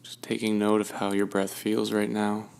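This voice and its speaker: Low, gravelly man guiding meditation. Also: monotone, normal speed, a little mellow. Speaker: meditation guide. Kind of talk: guided meditation, gentle instruction.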